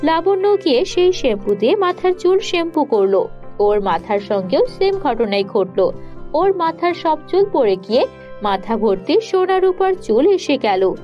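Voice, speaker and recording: A woman's voice: high voice, sing-song, normal speed, lo-fi sound, faint music behind. A woman narrating a scripted children's story as a storyteller.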